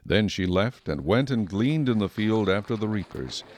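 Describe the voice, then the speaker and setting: Deep-voiced man narrating scripture. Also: animated, moderate pace, no echo. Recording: music playing behind.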